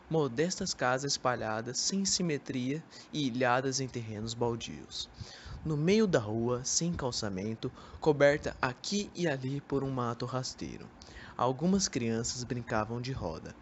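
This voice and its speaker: A young man with a Brazilian accent reading scripted literary narration for an amateur radio drama. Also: mid-range voice. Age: young adult. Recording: amateur recording with background noise.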